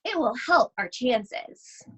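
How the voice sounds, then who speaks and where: A woman's voice: fairly high pitch, animated, slow, a bit bright, breathy edge, heavily acted. A woman reading the part of an earnest, matter-of-fact young boy on a digging adventure in a picture book. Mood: hopeful.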